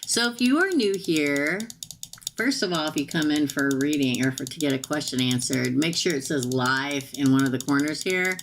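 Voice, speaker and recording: Tarot reader, woman, low gravelly voice, chatty with viewers, tired and getting hoarse. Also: moderate pace, a little hiss or hum. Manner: chatty, addresses viewers directly. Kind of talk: live-stream tarot reading.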